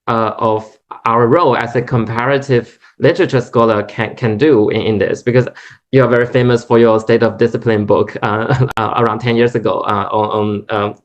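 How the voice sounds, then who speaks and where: A man's voice: medium pitch, fairly even intonation, moderate pace. A man whose first language is Chinese, asking a long, hesitant academic question at a lecture, full of restarts. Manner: hesitant, many restarts and fillers. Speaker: audience member asking questions. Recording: dull lo-fi recording.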